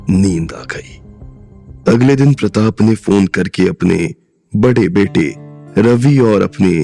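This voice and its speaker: Very deep, gravelly male narrator. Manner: steady storytelling. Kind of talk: scripted audiobook narration.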